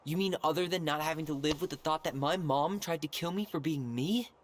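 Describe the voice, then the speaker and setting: A man's voice: on the high side, fairly quick, slight background noise, natural voice. A man playing a wounded, stubborn young man in naturalistic video game dialogue, earnest and blunt. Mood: troubled. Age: young adult.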